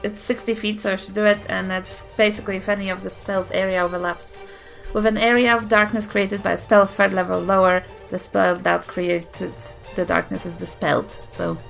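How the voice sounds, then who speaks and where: A woman's voice: medium pitch, level tone, normal speed, creaky, hardly any pauses, fairly bright tone. A woman playing a tabletop role-playing game, chatting casually and thinking aloud in a hesitant way. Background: faint background music.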